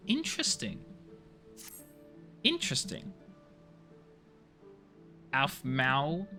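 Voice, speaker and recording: A man's voice: mid-range voice, pushed and bright. A man chatting casually to viewers as a video game streamer, playful and teasing, rambling from one thought to the next.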